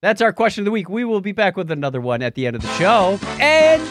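A man's voice: high-pitched, sing-song, fast talker, fairly bright tone, faint music behind. A man co-hosting a podcast in casual, comedic banter, with a joking, playful manner. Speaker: podcast co-host.

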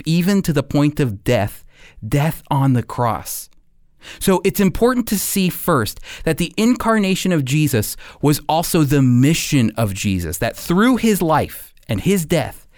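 A man giving a scripted sermon as a preacher, phrasing deliberately and emphatically and putting questions to his listeners. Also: mid-range voice, darkish tone, no room sound, clean studio sound.

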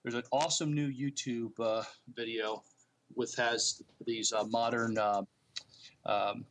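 A man co-hosting a podcast, talking casually about films in a somewhat halting way. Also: medium pitch, fairly even intonation, a bit bright. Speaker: podcast co-host. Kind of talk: casual movie news chat.

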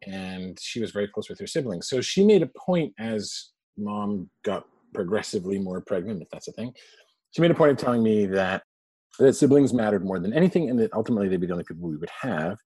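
A man's voice: lowish voice, animated, a little fast, mellow, breathy edge, dry recording, rough recording. A man in his forties, a guest telling family stories in a chatty, laughing way. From born in Canada, raised abroad. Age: 40s. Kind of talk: casual storytelling interview.

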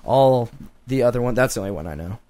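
A man's voice: medium pitch, expressive, fast talker, mellow, dry recording. A man co-hosting a casual talk radio show, joking and slipping into mocking put-on voices.

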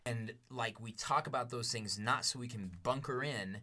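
A man's voice: medium pitch, normal speed, bright, forceful tone. A man from Denver co-hosting a casual podcast about teaching, earnest and reflective, laughing easily between thoughts. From Denver, USA.

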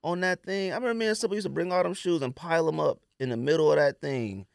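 A man's voice: fairly high pitch, quick pace, vocal fry, no echo, studio quality. An American man hosting a podcast, chatting casually and jokingly in slangy speech about sports and sneakers. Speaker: podcast host.

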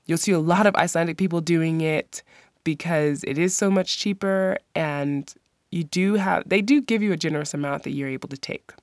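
A woman's voice: deep voice, moderate pace, dry recording, studio quality. A woman hosting a podcast, giving friendly, practical travel advice. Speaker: podcast host. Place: lives in the country she describes.

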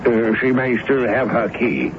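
A man playing a timid, deferential manservant who speaks haltingly and very politely in a radio play. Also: medium pitch, natural voice. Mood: nervous, deferential. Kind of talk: 1970s radio drama acting. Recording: muffled, noisy radio recording.

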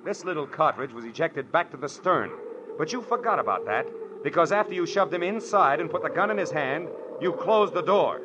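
An American actor playing a shrewd, world-weary private detective in 1940s radio drama, with a clipped, wry, emphatic delivery. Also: male voice, on the high side, animated, brisk, noisy background, lightly acted. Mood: accusing. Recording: muffled old radio broadcast, music behind.

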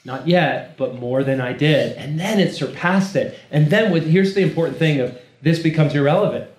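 A man's voice: fairly high pitch, moderate pace, a little mellow. A man preaching in an informal, conversational way to a live group, emphatic and repeating phrases for weight. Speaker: preacher leading a teaching session. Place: room, speaking live to a gathering. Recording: echoey room with background noise.

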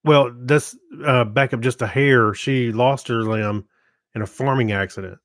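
A man's voice: mid-range voice, unhurried, dry recording. Casual male co-host, dry close sound. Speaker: podcast co-host. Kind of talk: casual conversational commentary.

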